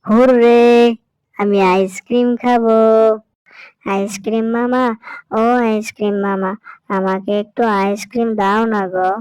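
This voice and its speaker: A woman's voice: medium pitch, steady tone, unhurried, long pauses, a little mellow, clean tone, no room sound, natural voice, low-quality recording. A woman voicing a little boy in a cartoon who whines and begs for ice cream. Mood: pleading. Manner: whiny, wheedling child voice. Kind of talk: cartoon voice acting.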